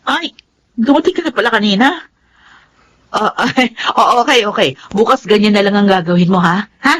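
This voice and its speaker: A woman's voice: medium pitch, normal speed, a bit bright, natural voice, low-quality recording. An actress playing a brash, carefree young Filipino mother in a radio drama, talking in a boastful, breezy, exclamatory way. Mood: breezy, bossy.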